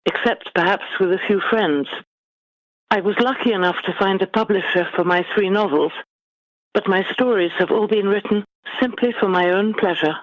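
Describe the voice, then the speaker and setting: A middle-aged woman guest author speaking in measured, formal, articulate phrases in a literary interview. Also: medium pitch, no echo. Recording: dull, lo-fi band-limited sound.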